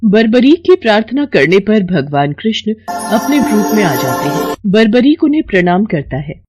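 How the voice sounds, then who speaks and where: A woman narrates a scripted devotional story. Her voice sits at an average pitch, with music playing behind it. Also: sing-song, normal speed.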